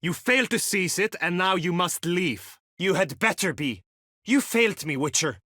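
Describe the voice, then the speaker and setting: An earnest courtly knight, swooping intonation. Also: male voice, high-pitched, expressive, normal speed, sharp tone, no echo, clean studio sound, full character voice. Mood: agitated, urgent. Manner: formal, archaic courtly phrasing. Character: earnest lovesick chivalrous knight, courtly and anxious. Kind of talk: video game character acting.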